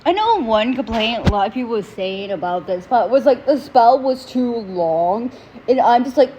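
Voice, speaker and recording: A young woman with a stuffy nose and sore throat, hosting a casual reaction video in an animated, opinionated way. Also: on the high side, husky, rough recording. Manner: animated, opinionated ranting to viewers.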